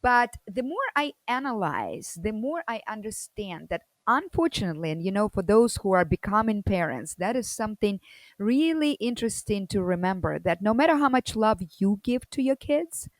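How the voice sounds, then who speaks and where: A woman from the former Soviet Union, telling her personal story as a guest in a loud, energetic and expressive way. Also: medium pitch, normal speed, no echo, poor audio.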